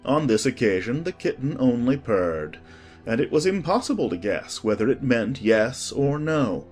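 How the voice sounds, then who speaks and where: A man's voice: mid-range voice, big pitch swings, normal speed, darkish tone, faint music behind. A man reading a classic storybook as an audiobook narrator, in a scripted storytelling style.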